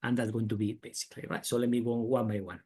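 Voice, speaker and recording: A middle-aged man with a Spanish accent teaches a webinar class over a computer mic. His voice sits at an ordinary middle pitch, and he keeps checking in with his audience with a quick "right?". He is eager and talkative, stacking examples as he goes. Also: flat delivery, a little mellow. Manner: tag questions and "right?" fillers, enthusiastic. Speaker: business school professor. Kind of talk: webinar lecture. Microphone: computer mic on a video call.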